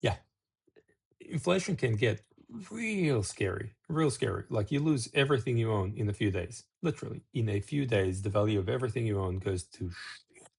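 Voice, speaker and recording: A man's voice: on the low side, expressive, normal speed, creaky, breathy edge. A middle-aged man from Serbia hosting a casual talk-to-camera video, rambling with restarts and asides. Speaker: video host.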